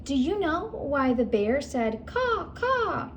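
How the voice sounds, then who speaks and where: A storybook porcupine, once grumpy and now full of jokes, speaking high and lively, as a woman reading aloud does his voice. His punchlines come out quickly. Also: slight background noise, heavily acted. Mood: jokey. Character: grumpy male porcupine learning to joke.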